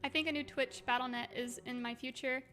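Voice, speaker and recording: A woman chatting casually on a game livestream, expressive and affectionate, reading messages aloud, with a slightly hoarse voice. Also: sits high, fairly quick. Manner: expressive, exclaims often, gushes affectionately. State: slightly hoarse, by own account. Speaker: livestream host. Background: music.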